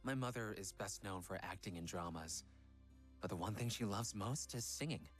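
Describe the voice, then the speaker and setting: A man voicing a gentle, earnest young pop idol in a visual novel game, soft-spoken and a little hesitant. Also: deep voice, normal speed, soft background music, close to an everyday voice. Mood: wistful, nostalgic. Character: gentle young male Korean pop idol, devoted fiancé.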